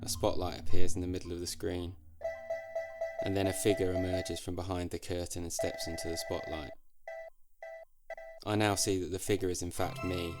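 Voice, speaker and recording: Deep voiced guy telling a story, dry n measured, music playing under him. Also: flat delivery. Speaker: narrator. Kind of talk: first-person scripted storytelling.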